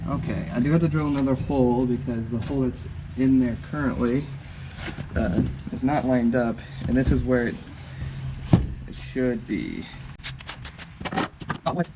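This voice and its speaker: Man, mid-pitch, low-key mutter mid-task, dull lo-fi sound, clear background noise. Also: moderate pace, pauses often. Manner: muttering to himself, mildly frustrated. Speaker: DIY vehicle modder. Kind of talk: casual narration while working. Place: in and under a Jeep.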